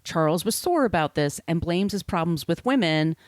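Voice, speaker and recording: A woman's voice: lowish voice, animated, moderate pace, darkish tone, dry recording, clean studio sound. A woman hosting a podcast, reading scripted narration with casual, irreverent asides. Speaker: podcast host.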